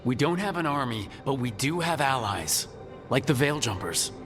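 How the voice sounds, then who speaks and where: A man's voice: mid-range voice, animated, normal speed, music in the background, natural voice. An actor playing an earnest, composed male team leader in a video game, speaking directly. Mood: businesslike. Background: game score.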